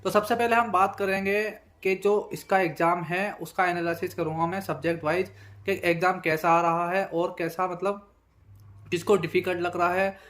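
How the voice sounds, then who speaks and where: A man's voice: sits high, fairly bright tone. A young man speaks. He talks informally to his viewers as he breaks things down, circling back over his points and peppering them with verbal tags. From Bijnor, Uttar Pradesh, India. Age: young adult. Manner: repetitive, conversational, frequent verbal tags. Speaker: exam candidate and video host. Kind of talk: informal exam analysis talk to viewers.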